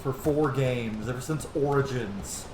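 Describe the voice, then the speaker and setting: A man talks through his gameplay in a loose, informal way, muttering asides to himself. His mid-pitched voice sits in a roomy space with faint noise behind it. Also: a little slow, darkish tone. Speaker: gaming streamer, let's-play host. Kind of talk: casual gameplay commentary.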